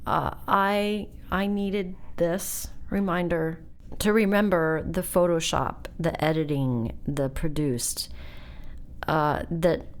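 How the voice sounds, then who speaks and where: A woman in her fifties hosting a podcast, chatting casually and confessionally, laughing often. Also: on the low side. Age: 50s. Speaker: podcast host. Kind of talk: casual chat.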